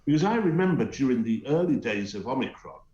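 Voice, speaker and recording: A man's voice: mid-range voice, big pitch swings, fairly quick, mellow, roomy sound, lo-fi sound. A middle-aged man with a Teesside accent giving emphatic, scornful commentary on a talk show, hesitating with many uhs. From North East England. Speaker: guest commentator. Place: remote link from home.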